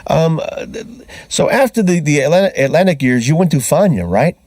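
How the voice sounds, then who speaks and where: A man's voice: on the high side, lively intonation, brisk, a little mellow, no room sound. A man hosting a radio interview from a studio, switching between English and Spanish with frequent fillers. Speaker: radio show host.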